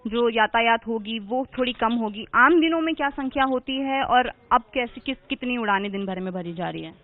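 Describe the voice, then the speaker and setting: A woman reporter with a fairly high voice delivers her piece to camera formally and with emphasis, then puts questions to her guest. The audio is narrow and tinny, like a phone line. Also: moderate pace. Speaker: field reporter. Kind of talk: news report and interview.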